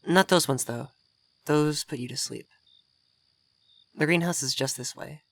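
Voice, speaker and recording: A man's voice: on the high side, a little slow, vocal fry, fairly bright tone. Guy doing a femboy vampire mage for asmr roleplay, kinda prim and vain, coy, gets flustered easy, close and intimate. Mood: proud, refined. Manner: prim, refined wording, coy and easily flustered. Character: young effeminate male vampire mage, vain, flustered tsundere.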